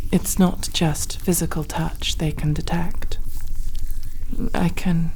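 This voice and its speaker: A woman playing a formal, curious, earnest alien crewmate in an intimate sci-fi ASMR roleplay, with precise, literal wording and a soft, breathy voice often close to a whisper. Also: on the low side, slight background noise, poor audio.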